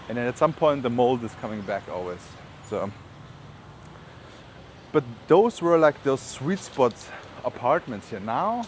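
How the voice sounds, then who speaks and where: A man from Germany with a German accent, chatting casually and rambling with informal asides in a walking vlog. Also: medium pitch. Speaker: vlogger. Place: outdoors on city streets while walking. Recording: outdoor street noise, passing traffic. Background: urban street ambience, vehicles.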